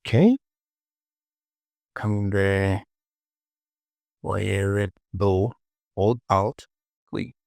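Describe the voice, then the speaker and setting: A man casually presenting a software tutorial, talking to himself as he works and repeating short phrases. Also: low-pitched, fairly even intonation, drawn-out words, creaky, pauses often, no echo, studio quality.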